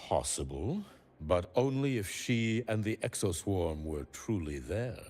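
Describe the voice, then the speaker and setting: Suspicious game professor, really low voice but expressive, needling and smug. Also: male voice, soft background music. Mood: cold, accusing. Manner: measured, insinuating, condescending. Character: suspicious, needling male academy professor, coldly probing. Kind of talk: video game voice acting.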